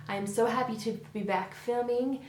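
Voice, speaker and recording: Hoarse young woman chatting in an echoey room. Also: a little high, fairly even intonation, normal speed, slight background noise. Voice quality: slightly raspy. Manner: chatty, frank about products she dislikes. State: hoarse, recovering from strep throat. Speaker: beauty vlogger. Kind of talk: casual product review to camera. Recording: roomy echo.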